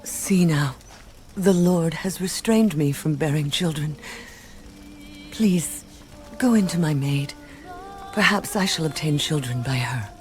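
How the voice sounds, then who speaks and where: A woman playing an aggrieved childless wife in a dramatized scripture, earnest and measured. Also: deep voice, animated, soft background music. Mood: pleading.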